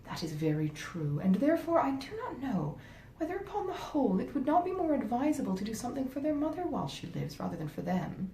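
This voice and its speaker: Woman reading as a grave, mellow gentleman husband. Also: very animated, fast. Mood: deliberating. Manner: earnest, pompous, deliberating. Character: grave, well-meaning but easily swayed gentleman husband. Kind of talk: acted dialogue in a classic novel reading. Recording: roomy echo, faint rumbling noise.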